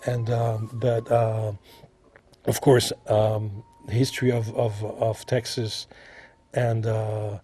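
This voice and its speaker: A man from France with a French accent, answering interview questions as an artist, halting and repeating himself as he searches for words. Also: fairly low pitch, little pitch movement, warm tone, husky. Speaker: visual artist being interviewed.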